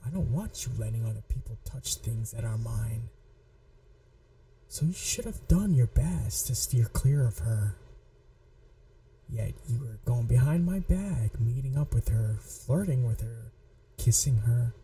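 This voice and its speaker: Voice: mid-range voice, animated, unhurried, dark tone, far from the mic, rough recording. A performer voicing a possessive, manipulative young yandere in an ASMR roleplay, taunting and coldly controlled. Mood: cold, reproachful. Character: possessive, manipulative yandere childhood friend, menacing young blackmailer. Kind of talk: scripted ASMR roleplay audio drama.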